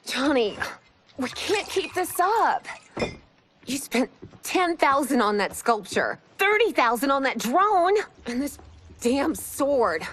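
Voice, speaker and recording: An actress playing the blunt, exasperated wife of a fading movie star in a dramatic game cutscene. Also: female voice, sits high, very animated, slow, fairly bright tone, heavily acted.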